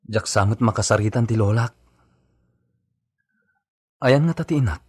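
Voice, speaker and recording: Concerned, earnest young-generation Ilocano son male actor, slightly deep and rough-edged voice, village radio drama. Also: moderate pace, a little mellow. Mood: concerned, questioning.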